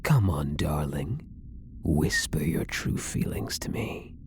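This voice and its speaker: A man's voice: extremely low pitch, sing-song, darkish tone, full character voice. A man voicing a possessive, coy elf prisoner in an intimate ASMR roleplay, teasing and devoted, sometimes dropping toward a whisper. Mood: obsessive, adoring. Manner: teasing, slow, lilting delivery dropping into whispers.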